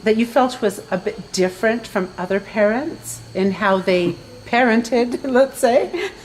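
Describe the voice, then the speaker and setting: A woman moderating an interview, asking slow, deliberate, encouraging questions. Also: on the high side, expressive, slight background noise, rough recording.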